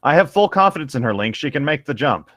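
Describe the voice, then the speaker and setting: A man's voice: mid-range voice, sing-song, fast, a bit bright, no room sound, studio quality. A man casually joking and reading bits of a story aloud with sarcasm. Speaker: co-host reading and riffing on a story.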